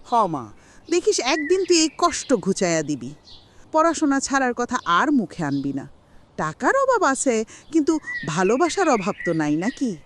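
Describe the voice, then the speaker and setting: A woman's voice: on the high side, wildly swooping pitch, normal speed, slight background noise. An actress playing a loving, encouraging poor village mother in a cartoon, with a rural Bangladeshi accent. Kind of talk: cartoon voice acting.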